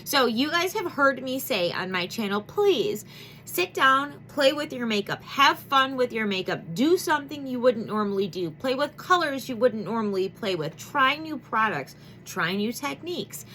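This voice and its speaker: A congested, nasal-sounding woman in her thirties gushes and rambles through a chatty beauty vlog intro. Her voice is bright and forward. A faint low rumble sits under the recording. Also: big pitch swings, normal speed. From Michigan. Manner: bubbly, gushing, rambling with many fillers. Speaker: beauty vlogger.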